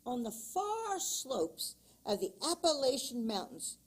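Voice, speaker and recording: A woman reading a children's picture book aloud at a church story time, in a slow, expressive storytelling manner. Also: sits high, husky.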